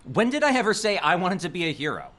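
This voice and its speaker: An actor playing a harried, evasive, earnest young theater director in an audio drama. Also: male voice, sits high, sing-song, quick pace, a bit bright. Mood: weary, defensive. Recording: faint background noise.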